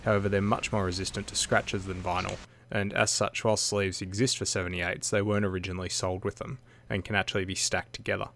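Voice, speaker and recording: Man, low pitch, fry in the voice, matter-of-fact how-to narration, slight background noise. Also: little pitch movement, quick pace, a bit bright. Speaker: DIY video narrator. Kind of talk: scripted how-to voice-over.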